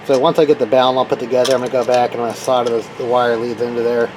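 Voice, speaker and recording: A man giving a casual, unscripted how-to as a hobbyist builder, halting and thinking aloud while he works with his hands. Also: medium pitch, flat delivery, background noise.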